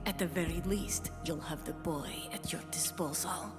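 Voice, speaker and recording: A cold female soldier, played by an actress, low-voiced and bitter. She speaks in game drama style, with long reverb and loud music behind. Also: moderate pace, natural voice. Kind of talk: dramatic video game voice acting.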